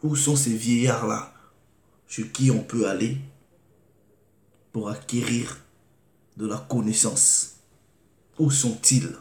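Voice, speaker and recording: A man preaching, reading scripture slowly and deliberately and asking rhetorical questions. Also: medium pitch, fairly even intonation, a little mellow. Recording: echoey room.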